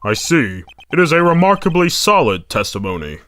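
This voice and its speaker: A man's voice: a little high, sing-song, normal speed, fairly bright tone. A man voicing a fussy older male judge who speaks formally and pompously. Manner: formal, pompous, easily swayed. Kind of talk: fan dub game voice acting. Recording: with background music.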